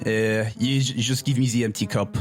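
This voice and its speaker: A man's voice: medium pitch, fairly even intonation, normal speed, over loud music, close to an everyday voice. A man playing a mournful, shady philosopher with a hesitant, dry manner in improvised tabletop role-play. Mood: wry gloom.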